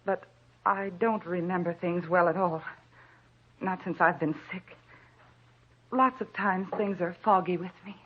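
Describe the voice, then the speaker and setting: An actress playing a frail, nervous, forgetful American housewife, hesitant and meek, in an old-time radio drama. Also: female voice, fairly low pitch, unhurried, pauses often, husky. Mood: uncertain. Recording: dull, noisy old radio recording.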